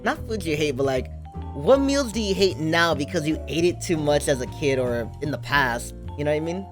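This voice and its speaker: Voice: mid-range voice, lively intonation, normal speed. A stream host in casual chat, asking questions in an easygoing, curious way. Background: background music.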